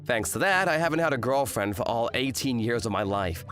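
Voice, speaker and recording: A man's voice: medium pitch, lively intonation, a little fast, a bit bright, faint music behind, close to an everyday voice. A man playing an exasperated eighteen-year-old student in a manga rom-com dub, complaining and narrating with indignant drama. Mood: wry narrating. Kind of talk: manga dub acting and first-person narration.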